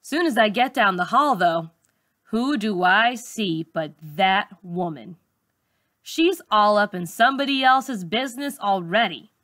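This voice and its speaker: A woman teacher reading a first-person story aloud to schoolchildren in an expressive, colloquial storytelling manner. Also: mid-range voice, unhurried, dry recording, studio quality.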